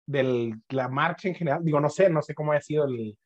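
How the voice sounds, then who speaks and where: A man's voice: a little high, brisk, lo-fi sound, slight background noise. A man with a Mexican accent, co-hosting a podcast in slangy casual chat with casual swearing. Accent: Mexican Spanish. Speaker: podcast co-host.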